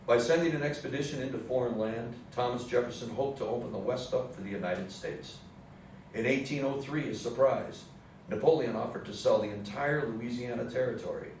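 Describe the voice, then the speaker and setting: A man's voice: mid-range voice, darkish tone. A man delivering scripted historical narration as the narrator of a live stage reading. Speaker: narrator in a readers theatre play. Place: live readers theatre performance in an echoey indoor space. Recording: very echoey room, distant mic, faint noise.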